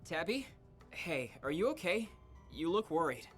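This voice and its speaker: An easygoing teenage prankster boy acted by a woman, low for a female voice and very animated in pitch. He talks casually and placatingly, as in a visual novel, with faint music behind. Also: measured pace. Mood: concerned. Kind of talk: visual novel character acting.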